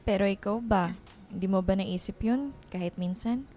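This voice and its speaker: A woman's voice: medium pitch, moderate pace, mellow, close to an everyday voice. A young woman playing a wounded, brooding daughter in a radio drama, plaintive and conversational, mixing in some English. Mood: aggrieved.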